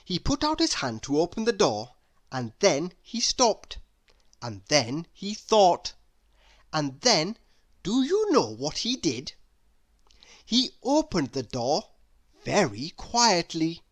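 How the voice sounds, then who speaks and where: A woman narrating a children's story expressively, with deliberate dramatic pauses. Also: on the low side, huge pitch swings.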